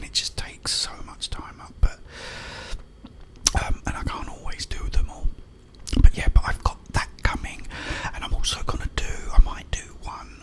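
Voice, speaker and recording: A man's voice: sharp tone, poor audio. Whispering British man, rambling ASMR. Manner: informal, rambling, repeats words playfully. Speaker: ASMR content creator.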